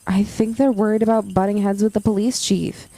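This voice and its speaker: A woman's voice: mid-range voice, level tone, fairly quick, mellow, no echo, close to an everyday voice, low-quality recording. A woman playing a keen, friendly young campus journalist in a naturalistic audio drama, conversational and earnest. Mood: concerned.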